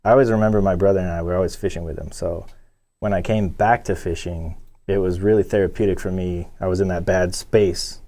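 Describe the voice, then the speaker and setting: A man's voice: sits low, steady tone, fairly quick, dark tone. A man born in Chile and raised in Michigan, a guest telling his story in casual chat, full of "like" fillers. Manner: rambling storyteller, heavy "like" fillers. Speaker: guest angler.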